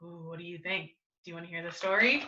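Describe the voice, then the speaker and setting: A woman reading a picture book aloud to young children like a teacher, with expressive, dramatic emphasis and coaxing questions. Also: lowish voice, fast, lo-fi sound. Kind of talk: animated children's storytime read-aloud with asides and questions.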